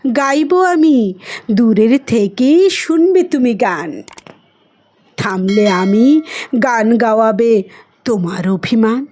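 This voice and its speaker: Woman doing a poetry recitation, kinda high voice, really slow and emotive. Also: darkish tone.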